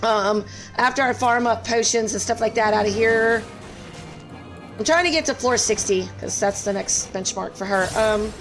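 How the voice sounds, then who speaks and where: A woman's voice: fairly high pitch, normal speed, a bit bright, soft background music. A woman live-streaming a game and chatting casually with viewers, her voice strained and scratchy, apologetic and full of fillers.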